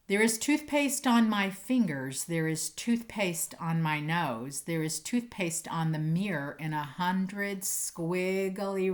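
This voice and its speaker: A woman speaking like an encouraging teacher to children, reading poems expressively and chatting casually in a playful, enthusiastic way. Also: low-pitched. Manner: playful, animated, emphatic on sound words.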